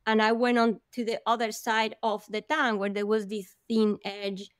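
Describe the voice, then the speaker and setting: A woman's voice: fairly high pitch, level tone, moderate pace, smooth, no echo, studio quality. A woman from Colombia, a guest vividly telling her own life story in an interview, with gasps and laughs along the way.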